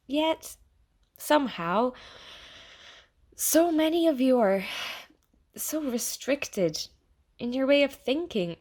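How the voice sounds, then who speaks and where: A woman playing an earnest artificial intelligence in an audio theatre monologue, speaking conversationally and wryly with halting, emphatic pauses to the listener. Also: on the high side. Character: earnest artificial intelligence, fond of yet disappointed in humanity.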